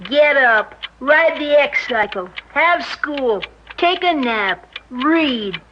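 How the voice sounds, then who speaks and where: A woman's voice: high voice, animated, slow, background noise. An actress in a 1960s radio drama voicing a restless young boy who whines and complains. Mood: whiny. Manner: whiny, complaining. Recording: dull old radio recording.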